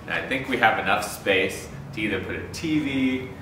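Low-voiced man in very echoey room. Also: animated, moderate pace. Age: young adult. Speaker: homeowner co-presenting a tour. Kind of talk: casual chat, house tour. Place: bare framed house interior, indoors. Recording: very echoey empty room, distant, clear noise.

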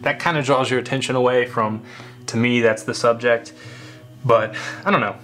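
Relaxed young man, rambling with fillers. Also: medium pitch, normal speed. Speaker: photographer. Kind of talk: casual screen walkthrough. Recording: faint guitar music underneath. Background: faint guitar music.

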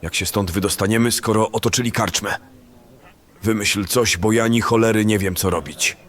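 A man's voice: lowish voice, normal speed, natural voice. Man playing a panicky, selfish fantasy bandit. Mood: agitated. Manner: excitable, colloquial, exclaiming. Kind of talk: fantasy audio drama acting.

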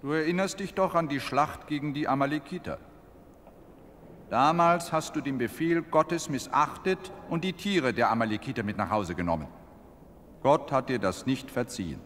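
An actor playing a stern, solemn prophet in a radio play, grave and admonishing. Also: male voice, medium pitch, normal speed, a bit bright, big-room sound, a little hiss or hum, natural voice.

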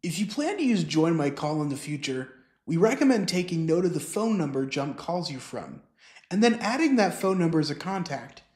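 Man narrating a software tutorial. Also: on the high side, big pitch swings, normal speed. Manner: clear step-by-step explaining. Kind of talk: scripted instructional narration.

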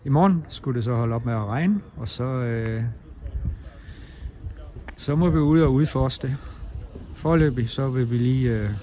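A man talking casually outdoors at a small harbor, halting and with many fillers. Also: mid-range voice, dark tone, slight background noise, rough recording. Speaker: sailing vlogger. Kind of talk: casual on-location talk.

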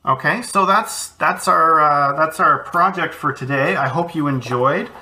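A man's voice: a little high, a little slow, sharp tone. A man, an art educator, casually walking kids through a cardboard craft project from his home studio, encouraging and thinking aloud as he works.

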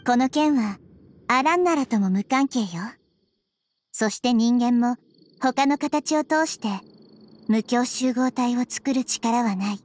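High-pitched, wise little girl deity. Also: darkish tone, a little hiss or hum. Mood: thoughtful. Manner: measured, explanatory, thoughtful. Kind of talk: Japanese anime game voice acting. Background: background music.

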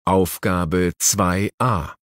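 A man's voice: sits low, fairly even intonation, fairly bright tone, dry recording, studio quality. A man announcing exercise numbers and reading short texts for language course audio. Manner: very slow, deliberate.

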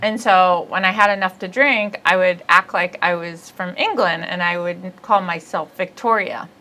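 A bright, forceful woman's voice with a Midwestern accent, chatting and joking in a small studio. She is in her forties and from Ohio, and she tells her stories in a loose, laughing way. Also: on the low side, normal speed. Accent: Midwestern American. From Ohio, USA. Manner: chatty, laughing, bawdy storyteller. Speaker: comedian host. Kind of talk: casual comedic chat. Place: small studio set, seated indoors.

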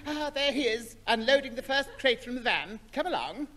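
A prim, fussy man with a remarkably high, swooping voice, easily flustered. It is old radio comedy acting in a long studio reverb. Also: lively intonation, normal speed, bright, forceful tone, slight background noise, full character voice. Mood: pleased, fussy. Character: fussy, naive, easily outraged prim gentleman. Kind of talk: 1960s radio sitcom acting.

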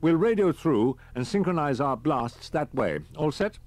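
Man acting a brisk army captain, raised pitch. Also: expressive, a little mellow, no room sound, close to an everyday voice. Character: brisk, gruff male army captain on the radio.

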